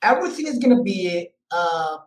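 A man's voice: high voice, sing-song, moderate pace. A man from Colombia with a Colombian Spanish accent, a guest English teacher talking casually with fillers and restarts. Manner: loose, repetitive, many fillers and restarts. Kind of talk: casual interview talk. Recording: roomy echo.

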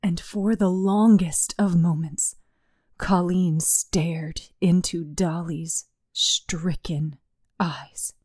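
A woman narrating dramatic horror fiction with deliberate, suspenseful phrasing. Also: lowish voice, fairly even intonation, darkish tone, slightly rough, dry recording, studio quality.

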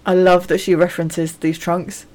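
A woman's voice: fairly low pitch, flat delivery, normal speed, darkish tone. A woman co-hosting a podcast, chatting casually in a candid, self-deprecating way. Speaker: podcast co-host. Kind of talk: casual chat.

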